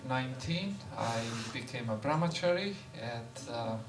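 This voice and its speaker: A man in his fifties, a visiting monk, speaking in a relaxed, unhurried way with light laughs as he introduces himself in a temple hall. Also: mid-range voice. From Croatia. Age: 50s. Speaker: visiting monk giving a talk. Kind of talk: informal talk, introducing himself. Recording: echoey room, voice far from mic, background noise.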